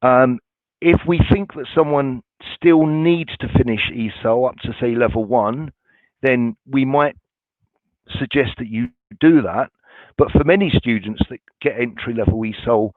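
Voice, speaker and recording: A man's voice: medium pitch, no room sound. A man from a college staff answering viewer questions in a webinar, informal and reassuring, with many ums and restarts. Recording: dry, tinny phone-like sound.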